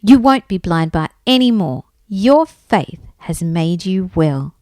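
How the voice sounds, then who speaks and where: A woman voicing a calm, kind male holy teacher in a children's story, measured and assured. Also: lowish voice, no echo, studio quality. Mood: gentle assurance.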